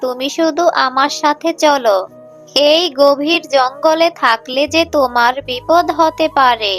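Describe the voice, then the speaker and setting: A woman voicing a kindly, caring mother fox in a cartoon, earnest and gentle. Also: high-pitched, a little slow, faint music behind. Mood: reassuring. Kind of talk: cartoon voice acting.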